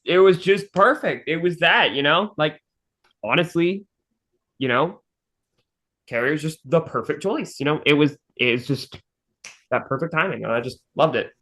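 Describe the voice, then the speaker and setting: A man's voice: fairly high pitch, expressive, normal speed, long pauses, fairly bright tone. A man talking casually and earnestly, with emphatic praise and reflection, as a podcast host. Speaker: fandub director and podcast host. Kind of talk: casual chat.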